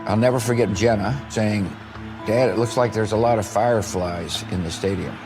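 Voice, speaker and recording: A man's voice: fairly low pitch, moderate pace, low-quality recording. A man reminiscing in a documentary interview style. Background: music.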